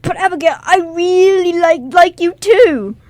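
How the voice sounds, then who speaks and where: A woman playing an earnest, excitable teenage boy who gushes and stammers in an amateur radio drama reading. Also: very high voice, a little slow, no echo. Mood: stunned, elated. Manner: stammering, gushing, rambling.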